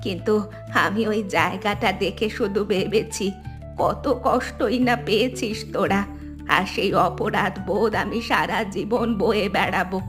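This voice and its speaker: A woman voicing a humbled, affectionate elderly mother-in-law in a family cartoon drama, speaking slowly and earnestly. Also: medium pitch, music in the background, close to an everyday voice. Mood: remorseful. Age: older.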